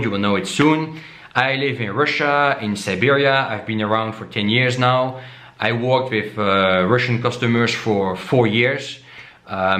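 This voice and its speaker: A man's voice: medium pitch, fairly bright tone. Dutch man chatting to camera, echoey room. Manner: repeats himself and tags "okay?" often, many "uh" fillers. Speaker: vlogger. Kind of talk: casual talk to camera.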